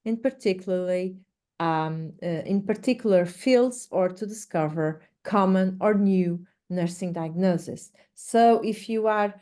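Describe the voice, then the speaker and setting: Slow-speaking woman with a Portuguese accent. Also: lowish voice, warm tone. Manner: hesitant, halting delivery with frequent pauses and repeated words. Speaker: research director presenting a webinar. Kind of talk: instructional webinar presentation.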